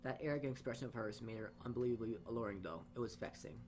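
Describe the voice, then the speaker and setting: Man reading game narration, mid-pitched. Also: racing, a little mellow, slight background noise. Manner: stumbles over words, rereading phrases. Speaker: let's-player reading aloud. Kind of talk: reading visual novel narration aloud.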